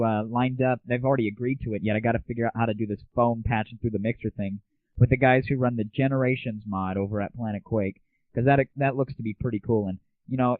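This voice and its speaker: A man speaks through a thin, phone-like recording with very little room sound. He hosts casually, delivering news in an informal, blunt, mildly crude way at an ordinary male pitch. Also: dry recording. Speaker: show host. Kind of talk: casual monologue, gaming news. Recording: tinny narrow-band phone-like audio.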